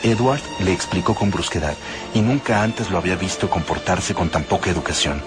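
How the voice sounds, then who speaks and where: A man narrating an audiobook with measured, dramatic storytelling. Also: low-pitched. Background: music.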